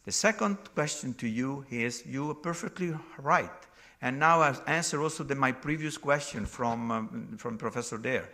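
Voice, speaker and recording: Portuguese-accented guy at a medical conference, gravelly but bright voice, talks in restarts. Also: mid-range voice, moderate pace. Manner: non-native phrasing, restarts, emphatic. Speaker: session chair and speaker. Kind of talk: conference Q&A answer.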